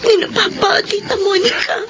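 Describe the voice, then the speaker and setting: High-pitched, tearful young woman, lo-fi radio drama over music. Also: steady tone, fairly quick. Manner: soft, tearful. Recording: dull lo-fi radio recording.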